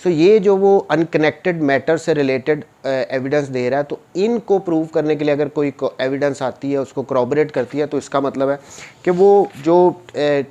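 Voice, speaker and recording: A man's voice: fairly high pitch, normal speed, dark tone, dull audio. A man with a Pakistani accent lecturing on written rules, explaining them in a didactic, informal way and rephrasing points simply. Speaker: law lecturer.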